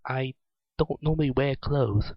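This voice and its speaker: A man's voice: medium pitch, a little slow, gravelly, dry recording, highs cut off. A man reading the part of a brash, confused young female pony in a story, with a blunt, casual manner. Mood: blunt, unsure.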